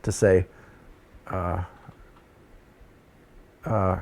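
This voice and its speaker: A man's voice: low voice, flat delivery, drawn-out words, gravelly, darkish tone. Rambling man, hesitant and reflective. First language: Dutch. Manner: rambling, hesitant, with many fillers. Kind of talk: casual conversation.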